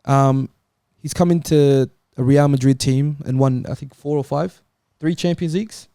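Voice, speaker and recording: A man's voice: mid-range voice, fairly even intonation, normal speed, warm tone, clean tone, dry recording, studio quality. A man in a casual, insistent sports debate, arguing his point as a talk show panelist. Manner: argumentative, insistent.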